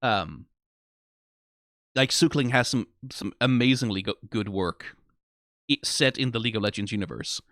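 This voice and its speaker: Male reaction host, mid-pitched, tired, informal and sweary, rambling delivery, dry recording. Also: fairly bright tone, dry recording. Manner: informal, freely swearing, emphatic; rambling, halting, trailing off mid-thought. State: tired after being awake for twenty hours. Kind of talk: off-the-cuff reaction commentary.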